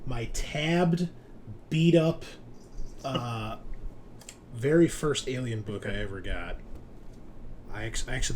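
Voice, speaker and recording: A man's voice: medium pitch, a little hiss or hum. Informal game master, man thinking aloud. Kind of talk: explaining tabletop game rules and scenes.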